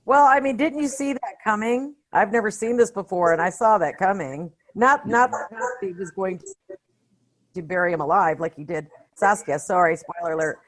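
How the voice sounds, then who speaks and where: A woman's voice: medium pitch, normal speed. A woman co-hosting a casual film discussion in a conversational, questioning way. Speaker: podcast co-host.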